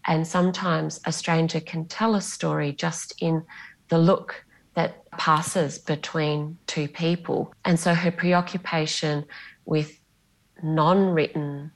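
Gravelly-voiced Australian woman, reflective and low. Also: level tone, slow pace, low-quality recording. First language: Greek. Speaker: novelist guest. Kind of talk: podcast interview.

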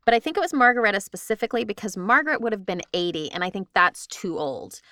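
A woman's voice: mid-range voice, lively intonation, fast talker, pushed and bright, dry recording, clean studio sound. A woman podcast host casually talking through her research in conversation. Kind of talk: casual chat, explaining research.

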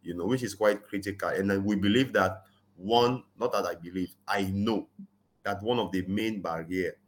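A man talks in an earnest, conversational way. His voice sits very low, and he often drops in "you know" as he builds his case. He leans hard on his main points. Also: normal speed. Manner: earnest, frequent "you know", rising emphasis on key points. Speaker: guest. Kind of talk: conversational interview, advocacy.

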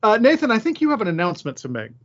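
A man's voice: high-pitched, huge pitch swings, very fast, a bit bright, lo-fi sound. A man chatting casually as the host of a podcast. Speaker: podcast host and high school teacher. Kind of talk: casual conversation.

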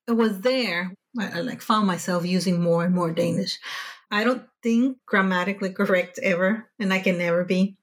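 A woman's voice: lowish voice, moderate pace. A woman whose first language is Spanish, telling her story as a podcast guest in a casual, chatty way, with frequent restarts and self-corrections. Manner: chatty, animated when recounting frustration, frequent self-corrections.

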